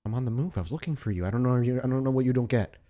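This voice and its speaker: A man voicing an obsessed young female copycat killer in a tabletop role-play, defiant and evasive, halting and repeating words. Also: medium pitch, dark tone, lightly acted. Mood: evasive, confessional.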